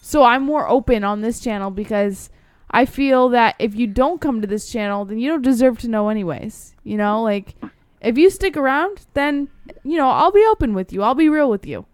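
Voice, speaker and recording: Female call-in host, high smooth voice, dry close sound, blunt and earnest. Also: expressive, moderate pace, no echo. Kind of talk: casual, candid chat.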